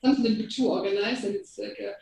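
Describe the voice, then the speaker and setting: A woman's voice: mid-range voice, steady tone, rapid-fire, vocal fry, dark tone. Hesitant woman guest speaker, echoey room. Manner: hesitant, with many ums. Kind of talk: informal self-introduction. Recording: echoey room, mic far off, faint noise.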